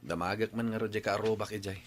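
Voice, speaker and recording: A man's voice: lowish voice, steady tone, fast, slight background noise, natural voice. A man playing an easygoing working husband in an Ilocano radio drama, speaking in a familiar, conversational way with an Ilocano accent.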